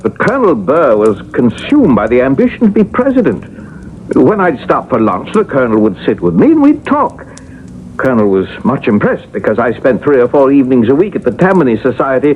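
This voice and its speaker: A man's voice: mid-range voice, lightly acted. Exasperated ghostly carpenter, thin old-radio sound, acted by a man. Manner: formal, old-fashioned, indignant. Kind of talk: radio drama acting. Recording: noisy, narrow-band vintage radio broadcast.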